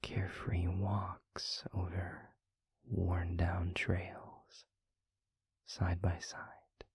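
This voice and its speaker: A man playing a tender, wistful demon lover in an intimate audio roleplay, whispering softly and haltingly in a breathy, husky voice, close to the mic. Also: deep voice, monotone, no echo, heavily acted. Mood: dreamy, loving. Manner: slow, halting, soft-spoken delivery. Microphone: close microphone.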